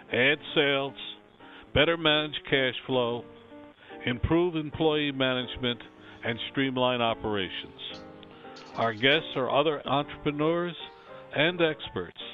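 A male radio host reading a scripted show introduction in a measured way. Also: medium pitch. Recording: tinny phone-like audio with faint music.

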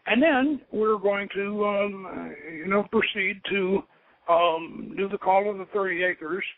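A man with a slightly high voice speaks slowly and deliberately as he leads a ritual, halting between phrases. He shifts between informal instruction and solemn incantation. The sound is narrow and tinny, like a phone line on the radio. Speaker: host leading a ritual.

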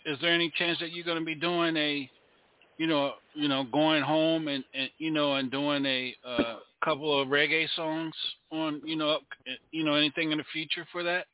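A male host with a fairly high voice, asking rambling, friendly-toned questions in casual chat. His voice comes through thin and phone-like. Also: steady tone, moderate pace. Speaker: show host. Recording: tinny phone-like line.

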